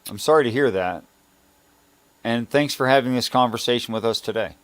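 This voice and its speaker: A man's voice: mid-range voice, a little fast, a bit bright, no echo. A man in casual conversational debate as a participant in a talk show.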